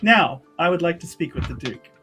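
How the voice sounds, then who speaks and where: A man's voice: a little high, expressive, brisk. A man voicing a smug visitor who is polite, evasive and condescending in a tabletop game. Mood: politely insistent. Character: smug, coolly polite visitor demanding an audience. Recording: music playing behind the voice.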